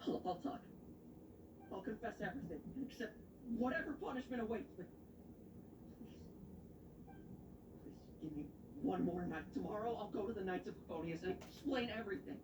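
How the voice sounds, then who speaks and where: An actor playing a guilt-ridden young knight medic in a video game, speaking haltingly and breathily in pleading tones. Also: male voice, sits high, lively intonation, roomy sound, distant mic, background noise. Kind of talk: dramatic video game voice acting.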